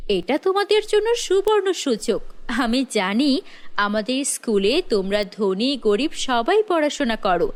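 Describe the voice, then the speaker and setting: A woman's voice: on the high side, voice pushed down. A woman voicing an encouraging female schoolteacher who addresses her class formally in a children's cartoon. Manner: formal, announcing.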